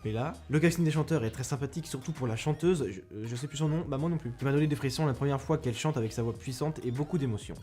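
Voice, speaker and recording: A man's voice: mid-range voice, fast talker, few pauses, mellow. A young man giving a casual spoken film review, rambling and self-mocking with many restarts, close to a microphone.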